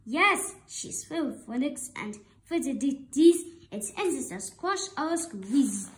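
A woman reading a children's story aloud with expressive, deliberate phrasing. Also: high-pitched. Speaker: storybook reader.

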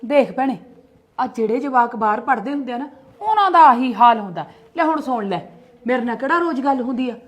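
A woman's voice: high-pitched, animated. A middle-aged woman, acting a defensive mother who argues back and scolds her sister-in-law in a family skit. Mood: reasoning. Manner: argumentative, quick retorts, scolding.

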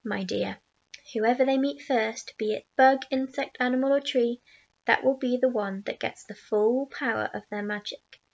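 A strange little old man leans in close, his slow, creaky voice dropping to a conspiratorial whisper, as a woman narrator puts him on. The gravel in his throat is thick, and he coaxes like he is sharing a secret. The storybook recording sounds a little dull and muffled. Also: fairly high pitch. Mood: urgent instructions.

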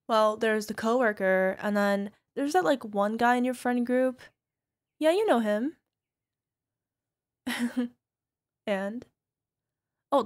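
Slow-talking, scornful toxic girlfriend played by a woman. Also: on the high side. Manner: exasperated sighs, scornful, halting defensive delivery. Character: toxic, gaslighting young cheating girlfriend, dismissive and shameless. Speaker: voice actor. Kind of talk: ASMR girlfriend roleplay, realistic audio drama.

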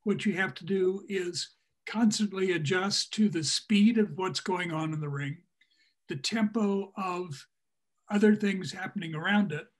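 An elderly man speaks as a panelist on a video call from a room at home. His voice sits high for a man, and he talks in a measured, deliberate way. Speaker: panelist, circus music society representative. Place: small room at home, indoors. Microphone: computer mic on a video call.

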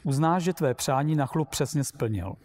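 A man voicing a proud, touchy robot inventor in a storybook reading, boastful and quick to take offence. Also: a little high, animated, darkish tone, in a large hall, close to an everyday voice. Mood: proud, snappish. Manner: indignant, boastful.

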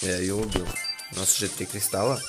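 A slightly hoarse man with a lowish voice talks through his gameplay in a loose, chatty way, thinking out loud and restarting words now and then. Game music plays clearly behind him throughout. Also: flat delivery, a little fast. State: slightly hoarse from a sore throat. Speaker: gaming YouTuber. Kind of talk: casual gameplay commentary.

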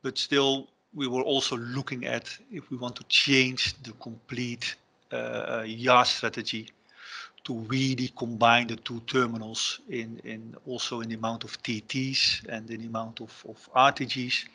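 A middle-aged Dutch-accented man presents over a headset on a video call. His voice has a bright, forward edge at a mid pitch. He pauses often with ums and restarts his sentences. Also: little pitch movement, breathy edge. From Netherlands. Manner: hesitant, many ums and restarts, non-native phrasing. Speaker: conference presenter, terminal IT director. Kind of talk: online conference presentation.

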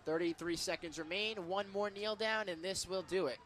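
An American man doing live football play-by-play on the radio, with a very high, bright voice. His delivery is excitable and emphatic, the classic announcer following every snap. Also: moderate pace. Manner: excitable, builds to emphatic calls on big plays.

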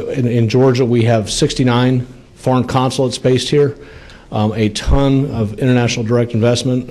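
Halting middle-aged man testifying at a podium. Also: mid-range voice, darkish tone. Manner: halting, many fillers and restarts. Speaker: state legislator presenting a bill. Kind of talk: committee testimony. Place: legislative committee room. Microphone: podium microphone.

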